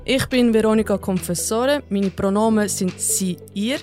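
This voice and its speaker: A woman in her twenties from Zurich with a Swiss German accent, hosting a casual podcast chat, frank, quick to laugh and swearing casually. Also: medium pitch, darkish tone, faint music behind. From Zurich, Switzerland. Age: 20s. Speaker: podcast host.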